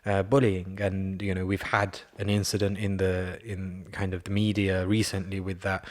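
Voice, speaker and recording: Halting man with a deep voice. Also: little pitch movement. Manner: halting, repeats words while searching for phrasing, many fillers. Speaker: podcast co-host, interviewer. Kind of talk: casual conversation.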